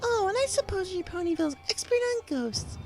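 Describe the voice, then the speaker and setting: A very high, sugary female killer pony from a horror story, put on by a male narrator. The pitch swings in a sing-song, taunting way, cheerful on top and menacing underneath. There is some noise behind the voice. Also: very animated, fairly quick, darkish tone, full character voice.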